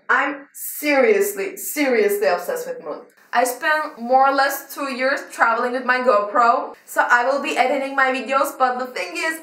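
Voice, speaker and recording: A woman in her twenties with a Turkish accent, introducing her vlog channel to the camera, energetic and fast-talking, in a small bedroom. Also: fairly high pitch. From Turkey. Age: 20s. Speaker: vlogger. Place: small decorated bedroom, indoors. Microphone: camera mic from a few feet away. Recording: roomy, somewhat distant mic.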